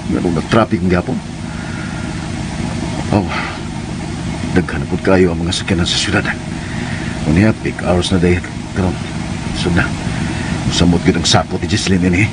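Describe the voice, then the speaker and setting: A man's voice: sits low, fairly even intonation, normal speed, pauses often, natural voice. A man playing a grumbling, worried driver muttering to himself in a radio drama. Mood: weary, annoyed. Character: grumbling, worried man driving in city traffic. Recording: very noisy, car sound effects. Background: car engine and traffic effects.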